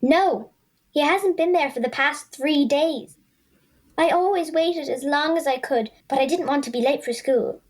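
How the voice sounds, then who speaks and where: Casting: little Irish schoolgirl, extremely high voice, sincere, worried, plaintive, children's radio play. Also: unhurried. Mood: subdued concern. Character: earnest, worried young schoolgirl. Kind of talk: children's audio drama acting.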